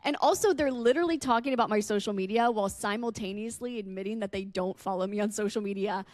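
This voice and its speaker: A woman's voice: medium pitch, fast, a little mellow, dry recording, clean studio sound. A woman podcast host chatting casually and candidly, with some swearing. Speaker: podcast host and radio personality.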